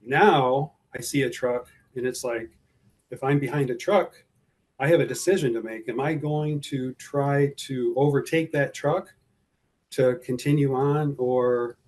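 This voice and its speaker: A man telling his own story in an interview. He speaks hesitantly and often stops to search for words. His voice sits at an ordinary middle pitch for a man. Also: unhurried, a little mellow. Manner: hesitant, many fillers and restarts. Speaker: guest describing his driving anxiety. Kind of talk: personal account in an interview.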